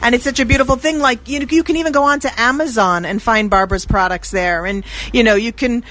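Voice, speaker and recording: A woman's voice: on the high side, fast talker, a bit bright, poor audio. Upbeat woman host asking about a gift shop, casual and chatty. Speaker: interviewer. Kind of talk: casual interview.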